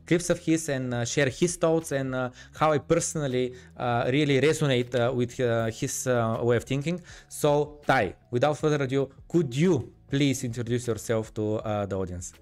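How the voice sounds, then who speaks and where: A man's voice: mid-range voice, normal speed, hardly any pauses, clear, resonant tone. A man with a Bulgarian accent hosting a podcast, introducing his guest hesitantly with many fillers. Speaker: podcast host. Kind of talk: casual podcast interview.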